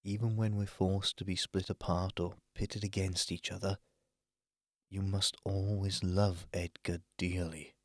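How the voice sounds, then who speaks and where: A protective elder prince played by a man from a fantasy audio drama. His voice is very deep and solemn, with a gentle, reassuring manner. The recording is dry, free of room echo. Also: flat delivery, a little slow. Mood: tender, reassuring.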